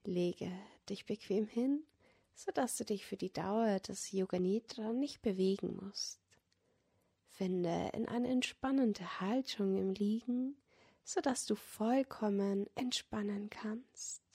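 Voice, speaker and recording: A woman leading a guided yoga nidra relaxation as a meditation guide, speaking in a gentle, soothing manner that often drops close to a whisper. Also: mid-range voice, takes it slowly, breathy edge. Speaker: meditation guide and podcast host.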